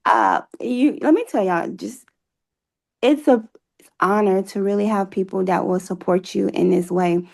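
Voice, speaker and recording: A woman's voice: mid-range voice, unhurried, vocal fry, darkish tone. An American woman speaking as an interview guest in an earnest, encouraging, motivational way. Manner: encouraging, faith-filled. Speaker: guest, stage play cast member and organizer.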